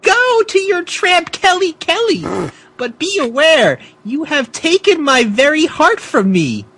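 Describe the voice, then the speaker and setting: A man's voice: very high voice, very animated, a little slow, sharp tone, full character voice. A man voicing a melodramatic, heartbroken woman turned vengeful vampire, wailing in an overwrought comic reading. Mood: spurned, vengeful. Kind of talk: comic dramatic reading of fan fiction.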